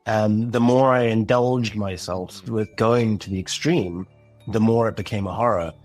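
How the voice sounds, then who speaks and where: Man, podcast guest, low-pitched, thoughtful, earnest, faint music behind. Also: a little slow. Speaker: podcast guest, audio drama creator. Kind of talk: reflective interview talk.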